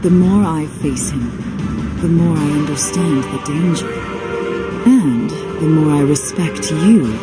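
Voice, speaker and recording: Cutscene role: gentle noble ally, deep for a woman, earnest, unhurried, loud score. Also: loud music behind the voice. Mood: earnest, hopeful. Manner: earnest, measured. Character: earnest, gentle, dignified female ally of the hero. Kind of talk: video game cutscene acting.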